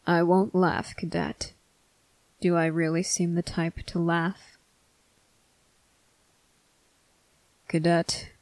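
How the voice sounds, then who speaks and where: A performer voicing a stern but tender male vampire captain in an intimate ASMR roleplay, speaking deliberately in clipped phrases with pointed pauses. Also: mid-range voice, creaky, dry recording. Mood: dry, tender. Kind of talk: ASMR roleplay addressed to the listener.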